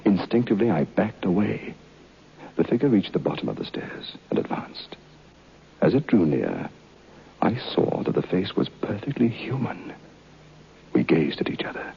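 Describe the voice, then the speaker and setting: An actor playing a solemn, haunted man who recounts a ghost story, deliberately and dramatically, in an old radio horror play. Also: low voice, very animated, creaky, slightly rough, full character voice. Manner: deliberate, dramatic, clipped phrases. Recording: muffled old radio recording.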